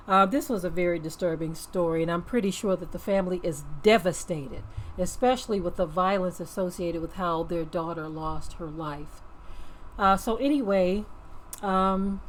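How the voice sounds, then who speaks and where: A woman speaks off the cuff as the host of a religious commentary show, in a mid-pitched voice. She is earnest and preachy, repeats phrases for weight and often checks that listeners agree. There is slight noise in the background. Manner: emphatic, repeats phrases, seeks agreement with okay and right.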